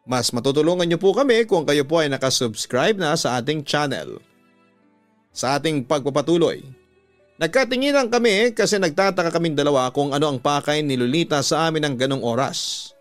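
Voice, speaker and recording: A man with a Filipino accent narrating a scripted story as a radio host, measured and deliberate, drawing out the ends of phrases. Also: a little high, dry recording. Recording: background music under a dry studio voice. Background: music.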